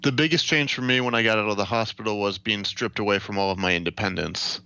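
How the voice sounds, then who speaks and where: An American man in his late twenties giving a measured, matter-of-fact account as an interview guest. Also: on the low side, fairly even intonation, sharp tone, clean tone, dull audio. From Ohio, United States. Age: late 20s. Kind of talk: recounting personal experience in an interview.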